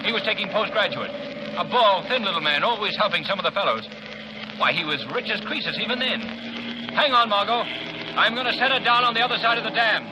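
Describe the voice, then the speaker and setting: A gallant, urgent adventurer hero. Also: male voice, high-pitched, animated, brisk. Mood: intense. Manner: urgent, declamatory. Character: gallant man-about-town hero, pilot. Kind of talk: 1930s radio drama acting. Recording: old radio broadcast with hiss.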